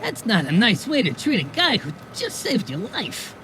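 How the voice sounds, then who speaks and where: An actor playing a wry, slangy goblin bartender in a noir audio drama, familiar and streetwise in manner. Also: male voice, high-pitched, wildly swooping pitch, moderate pace, fairly bright tone, slight background noise, exaggerated voice. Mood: scolding, then friendly. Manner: slangy, familiar, calling people kid. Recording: music playing behind the voice. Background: music.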